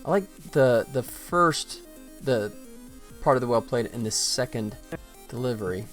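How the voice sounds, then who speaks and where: A man's voice: mid-range voice, lively intonation, a little slow, soft background music. A man hosting a game development livestream, commenting informally and thinking aloud as he works. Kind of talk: casual work-along commentary.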